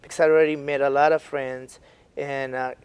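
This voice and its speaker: Halting man with a Mexican accent. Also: mid-range voice, level tone, darkish tone, clean tone. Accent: Mexican Spanish. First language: Spanish. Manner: halting, with many fillers and restarts. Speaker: guest, artist and dance instructor. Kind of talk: interview answers.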